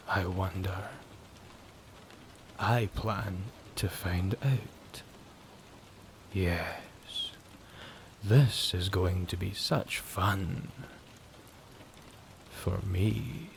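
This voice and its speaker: Slow, gravelly, sadistic vampire. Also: male voice, on the low side, a little hiss or hum, full character voice. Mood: sadistic relish. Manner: slow, drawn-out, gloating menace. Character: sadistic male vampire, smug, menacing, savouring his prey. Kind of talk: ASMR horror roleplay acting.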